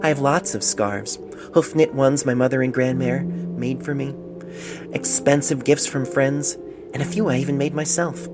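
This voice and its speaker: A man reads as a lovestruck young storybook mare, tender and wistful. The voice is lightened yet creaky, with music behind a homemade phone recording. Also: medium pitch, moderate pace, lightly acted. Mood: tender, hopeful. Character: young mare in love, writing a tender letter. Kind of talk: letter read aloud in story.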